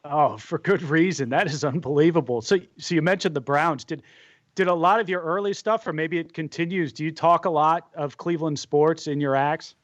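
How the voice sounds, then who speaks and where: A man's voice: on the high side, moderate pace, dry recording. A man hosting a casual interview who jokes with and teases his guest. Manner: joking, ribs the guest. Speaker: podcast host.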